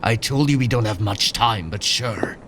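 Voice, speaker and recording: An actor playing a cold, controlling cybernetic colonel in a sci-fi audio drama, measured and calmly commanding. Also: male voice, on the low side, background noise, lightly acted. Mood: urgent, controlled.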